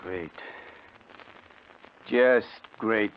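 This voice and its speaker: Grumbling racketeer, muffled old radio. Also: male voice, on the low side, very slow, slight background noise. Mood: bitter, resigned. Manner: blunt, grumbling, tough-guy. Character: bitter, irritable male racketeer down on his luck. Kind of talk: 1950s radio drama acting.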